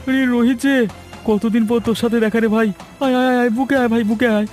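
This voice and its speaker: A man's voice: very high-pitched, fairly even intonation, a little fast, a little mellow. A man voicing a cowardly, apologetic young village man in a cartoon, pleading and stammering. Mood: overfriendly. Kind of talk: cartoon voice acting. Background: background music.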